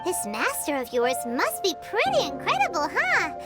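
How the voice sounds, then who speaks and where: A woman voicing a small, curious, chirpy fairy companion in a video game, with a squeaky childlike voice. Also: wildly swooping pitch, moderate pace, exaggerated voice. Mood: curious, friendly. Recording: loud music behind the voice.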